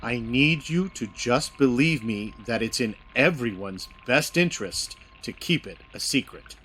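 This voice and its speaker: A man playing a secretive alien who passes as human, speaking in a measured, earnest way in an audio drama. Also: mid-range voice, animated, a bit bright, soft background music. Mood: grave, guarded. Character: secretive male alien posing as human, calm mentor.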